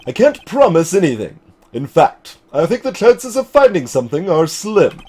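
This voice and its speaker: A man voicing a grave older lawyer in a video game, speaking in a measured, formal way. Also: high-pitched, sing-song, a little mellow, slightly rough, exaggerated voice. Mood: reluctant, formal. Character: grave, formal older male lawyer recounting old cases.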